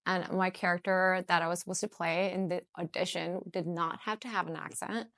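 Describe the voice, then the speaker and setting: A woman's voice: fairly low pitch, fairly even intonation, brisk, a bit bright, no room sound, studio quality. A young woman actor with a Canadian accent, candidly recounting an audition with quoted dialogue. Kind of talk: personal testimony.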